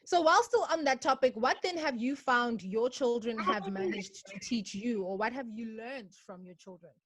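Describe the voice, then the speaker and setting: A young woman hosting an online book discussion over a video call, asking long, measured questions and keeping track of the time. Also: fairly high pitch. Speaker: interview host.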